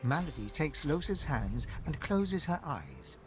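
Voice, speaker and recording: An actor playing a solemn, ominous narrator in a fantasy video game, telling the story dramatically. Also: male voice, fairly high pitch, wildly swooping pitch, unhurried, music in the background.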